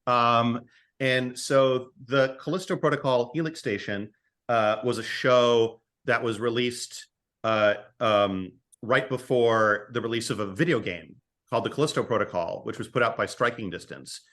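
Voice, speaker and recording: Man talking haltingly in a casual interview. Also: medium pitch, a bit bright. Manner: hesitant, repeats words and stammers as thoughts form. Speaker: podcast guest, screenwriter and audio drama writer.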